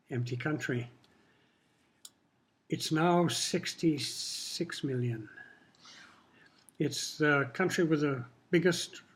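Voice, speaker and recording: An older man from Kenya whose first language was Swahili, speaking in a measured, reflective way while answering questions in an interview. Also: medium pitch, a bit bright, breathy edge. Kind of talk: casual interview talk.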